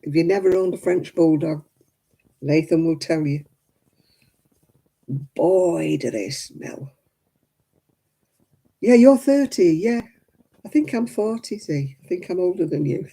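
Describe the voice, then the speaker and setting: Older lady in her 70s chatting on a craft stream, husky and very mellow. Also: lowish voice, expressive, slow pace, long pauses. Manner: chatty and homely, laughs often, addresses viewers by name. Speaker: crafting livestream host. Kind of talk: casual chat reading out viewer comments.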